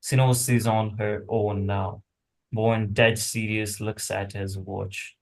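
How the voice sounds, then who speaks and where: Man reading screenplay directions, lowish voice, slow, short clipped phrases. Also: level tone.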